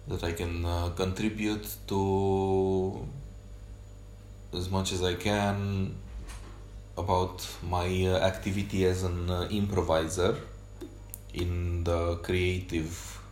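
A man whose first language is Romanian hosts a solo podcast journal, talking off the cuff. He speaks slowly in a low voice, halting often to search for words. The room sounds echoey, with a faint hiss behind him. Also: a little mellow. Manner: hesitant, many pauses and fillers. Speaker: podcast host.

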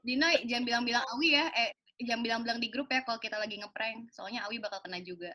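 A woman's voice: on the high side, fast talker, sharp tone. A woman with a Jakarta Indonesian accent hosting a podcast in casual, playful banter. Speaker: podcast host.

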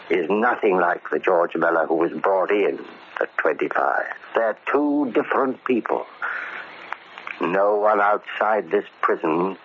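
A dull, noisy old radio drama recording carries a low American male voice. a bitter middle-aged convict, grim and deliberate, set on settling an old debt.

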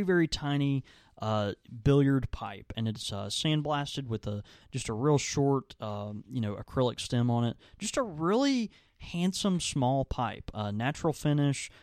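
A young man with a mid-range voice co-hosting a relaxed podcast. His talk is easygoing and rambling, full of fillers, and the sound is dry and close. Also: mid-range voice, dry recording. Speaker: podcast co-host and tobacco shop worker. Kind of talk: casual chat.

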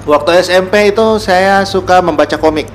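A man's voice: high-pitched, fairly even intonation, a little fast. A man with a Gorontalo Indonesian accent chatting as an interview guest, explaining reflectively and searching for his words. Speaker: podcast guest, writer. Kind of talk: casual conversational interview. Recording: noticeable room noise and ambience.

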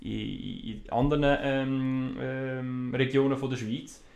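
A man's voice: mid-range voice, takes it slowly, gravelly. A man speaking with a Swiss German accent, whose first language is Italian, chatting casually as a podcast co-host and explaining things at length. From Switzerland. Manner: lively, explains at length with restarts. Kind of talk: casual chat.

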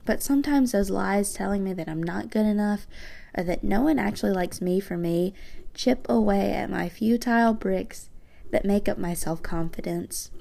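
Teen girl podcaster, low mellow gravelly voice, soft and hesitant. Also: normal speed. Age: teens. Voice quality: low voice for a woman. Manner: soft-spoken, reflective, slightly hesitant. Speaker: podcast host. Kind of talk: personal podcast monologue, reading own journal.

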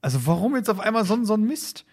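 A man's voice: sits high, very animated, fast talker, slightly rough. A man co-hosting a podcast, giving his opinions in blunt, slangy casual chat. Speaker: podcast co-host.

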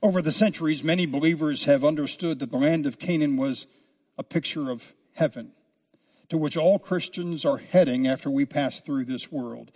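A man preaching an expository sermon as a pastor, speaking deliberately with drawn-out, emphatic phrases. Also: on the high side, a little mellow. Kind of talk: expository sermon, teaching a Bible book.

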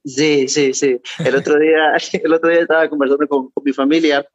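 A middle-aged man with a Peruvian accent speaks as a guest over a remote call. The audio is dull and dry. He talks in a halting way, repeating words and adding little tag questions. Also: on the high side, flat delivery, dry recording. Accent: Peruvian Spanish. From Peru. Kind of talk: interview.

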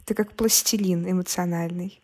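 A young woman answering casually as a podcast guest, expressive and informal, acting out her own inner dialogue. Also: on the low side, a little fast. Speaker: podcast guest, singer and content maker. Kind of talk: casual conversational interview answers.

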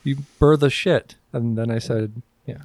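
A man's voice: medium pitch, unhurried, a little mellow, no echo. A man hosting a podcast in casual banter, joking crudely and swearing freely. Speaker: podcast host.

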